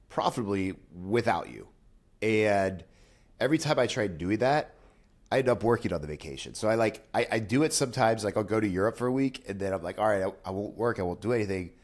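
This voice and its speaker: A young man with a congested, nasal voice, talking casually and candidly to camera about his business, with frequent fillers and restarts. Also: on the low side. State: nasal, congested after nose surgery. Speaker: business owner vlogger. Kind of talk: casual direct-to-camera talk.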